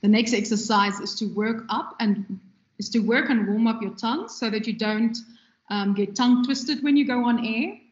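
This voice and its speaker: A woman giving a measured, instructive lecture on radio presenting, with a trained, loud voice and frequent ums. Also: fairly high pitch. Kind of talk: recorded lecture. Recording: echoey, dull lo-fi room recording.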